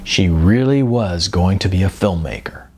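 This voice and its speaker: A man who is an author reading his own novel as audiobook narration, with expressive emphasis and drawn-out words. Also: low voice, slightly rough.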